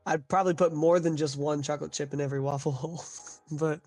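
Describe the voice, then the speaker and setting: A man's voice: a little high, fairly even intonation, fast talker, darkish tone. Male live-stream host, upbeat and loose, voice still recovering from illness, faint music behind. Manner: upbeat, uses "like" a lot. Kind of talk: casual chat on a live stream.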